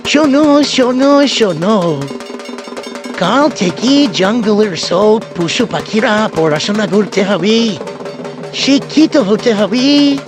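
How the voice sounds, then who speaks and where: A man voicing an animal town crier in a cartoon, proclaiming in drawn-out calls. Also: high-pitched, lively intonation, over music, pitched above the performer's own voice. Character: loud drum-beating animal town crier of the jungle. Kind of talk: cartoon announcement. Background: drum and percussion.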